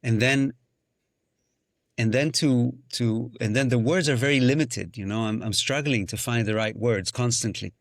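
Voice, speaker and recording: A man's voice: medium pitch, moderate pace, gravelly, no room sound. A man whose first language is Hebrew speaks as a guest musician on a podcast. His mid-range voice is thoughtful and searching, often restarting phrases and breaking into sung syllables. The recording is dry and close. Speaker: musician and singing-circle facilitator. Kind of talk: reflective podcast conversation.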